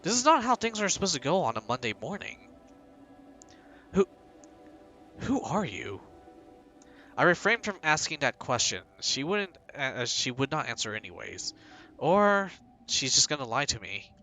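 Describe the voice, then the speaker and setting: A man's voice: fairly high pitch, sing-song, moderate pace, long pauses, pushed and bright, soft background music. A male streamer reading a game's text aloud and breaking off for joking, rambling asides.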